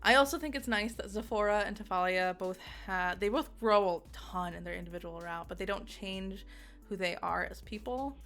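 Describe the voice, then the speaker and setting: A young woman giving a casual, excitable game review, giggling often and rambling with fillers and restarts. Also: medium pitch, moderate pace, faint music behind.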